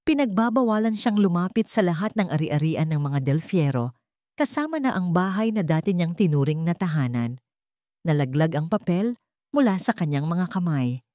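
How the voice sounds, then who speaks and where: Woman, Filipino accent, dramatic story narration, animated melody, slightly low voice, dry recording. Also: moderate pace, dry recording.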